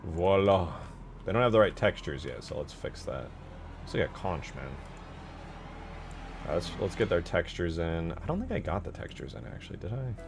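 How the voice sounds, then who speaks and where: Deep-voiced, gravelly man thinking aloud. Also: sing-song, fairly quick, background noise. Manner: thinks aloud, self-questioning, informal. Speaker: streamer modding a game. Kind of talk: casual live commentary while working.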